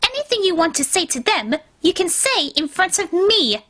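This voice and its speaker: A woman's voice: extremely high pitch, big pitch swings, normal speed, sharp tone, full character voice. A woman voicing a boastful, demanding young female pony magician with a haughty, theatrical manner in a cartoon fan fiction reading. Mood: indignant, grand.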